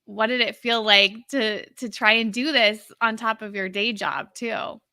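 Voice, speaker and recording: Bright, excitable woman hosting interviews. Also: a little high, a little slow. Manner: excitable, gushing. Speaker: host interviewing class members. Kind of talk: enthusiastic live-stream interview.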